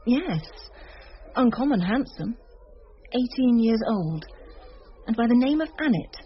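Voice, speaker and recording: A wry British schoolteacher, her voice fairly high and very animated. She gossips drily and teasingly in a slightly muffled radio drama. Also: unhurried. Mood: wry, gossipy. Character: wry, worldly grammar school teacher. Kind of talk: radio drama acting, conversational. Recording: slightly dull radio recording, background noise.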